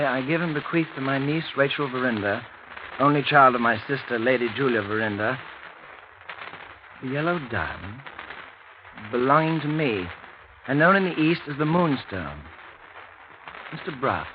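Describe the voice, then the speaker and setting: Actor as a courteous young gentleman, dull vintage sound. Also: mid-range voice, expressive, noisy background, natural voice. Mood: polite, measured. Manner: polite, measured, reflective. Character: courteous, thoughtful young English gentleman, telling his story. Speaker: lead actor and narrator in a radio drama. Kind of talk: old-time radio drama acting and narration. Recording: muffled vintage radio recording.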